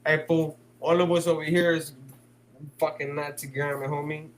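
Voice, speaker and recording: Brief: Bay guy hosting a live stream, slangy, cussing, a bit high-voiced, light hum behind. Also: flat delivery, normal speed, poor audio. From San Francisco Bay Area. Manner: slangy, swears, laughs a lot. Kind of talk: casual live chat reading comments.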